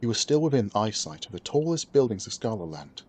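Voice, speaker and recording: Male audiobook narrator, fantasy tale, steady even delivery, fairly low voice. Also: fairly quick, breathy edge. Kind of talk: scripted fantasy story narration.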